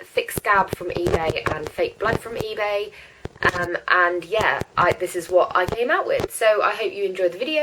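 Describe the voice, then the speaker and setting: A woman's voice: medium pitch, a little hiss or hum, poor audio. A young woman with a congested, stuffy voice from a cold, chatting casually through a makeup tutorial, with lots of ums and likes. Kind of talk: casual how-to chat.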